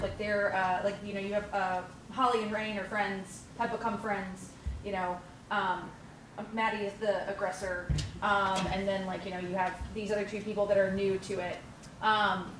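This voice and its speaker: A woman's voice: mid-range voice, fairly bright tone, slight background noise. Frank, casually swearing woman in an echoey room. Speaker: audience member giving notes. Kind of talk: casual feedback discussion. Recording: echoey room, distant mic.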